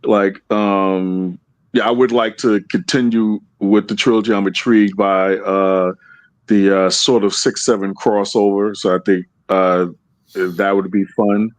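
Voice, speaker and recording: A man's voice: fairly low pitch, flat delivery, unhurried, no echo. A man speaking as a guest panelist in a casual review, hesitant and thinking aloud.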